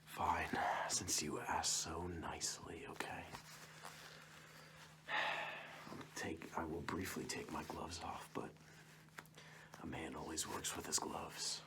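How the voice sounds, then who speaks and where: Casting: a man to play a male ASMR kidnapper, deep and unhurried, breathily hushed, coaxing a captive to sleep with playful menace. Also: level tone, creaky, exaggerated voice. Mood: hushed reassurance. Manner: coaxing, mock-reassuring, slow and deliberate. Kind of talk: whispered ASMR roleplay.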